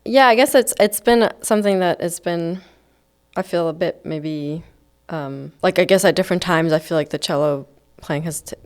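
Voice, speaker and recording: Low-voiced woman composer from Iceland. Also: normal speed. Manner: thoughtful, hesitant, many fillers. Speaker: guest composer. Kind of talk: casual podcast interview.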